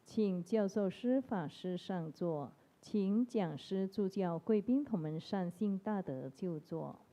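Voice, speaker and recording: Lady mc at a temple event, mellow, slow and polite. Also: medium pitch, lively intonation, poor audio. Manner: measured, polite announcer. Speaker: ceremony emcee. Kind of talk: formal ceremony announcing.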